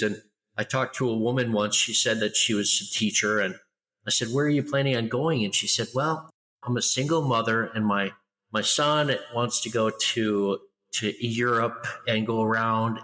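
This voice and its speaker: Loud middle-aged guy telling stories, deep but bright voice, long reverb tail. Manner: loud, animated storyteller. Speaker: guest, international school educator. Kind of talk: conversational interview.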